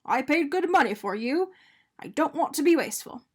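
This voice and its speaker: A fussy but kindly storybook man, acted by a woman, pitched high with plenty of movement in the melody. He is polite and matter-of-fact, like a proper gentleman explaining himself. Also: lively intonation, moderate pace. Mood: reasonable. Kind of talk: animated picture-book character reading.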